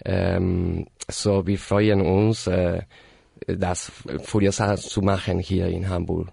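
Slow, gravelly man in German. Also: sits low, little pitch movement, warm tone, dry recording, studio quality. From Argentina. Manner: halting non-native German, many fillers and self-corrections. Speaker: guest, party organizer. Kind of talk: casual interview.

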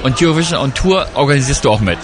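A man working as a reporter, asking brisk interview questions. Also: medium pitch, lively intonation. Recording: crowd noise behind.

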